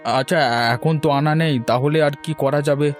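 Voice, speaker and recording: A man's voice: a little high, steady tone, moderate pace, soft background music, close to an everyday voice. A man voicing a meek, kindly family man in a moral-tale cartoon, earnest and a little hesitant. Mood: flustered.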